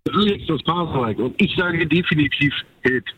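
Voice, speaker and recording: A man's voice: fairly high pitch, expressive, normal speed, breathy edge, lo-fi sound. A man calling in to a radio show, joking around as he gives his vote. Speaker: caller.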